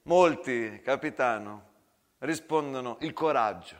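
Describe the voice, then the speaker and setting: Man performing menacing army officer, lower register, measured, smug, formal, theatrical war drama. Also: in a large hall, close to an everyday voice. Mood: controlled menace. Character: smug, menacing army major, interrogating with false courtesy.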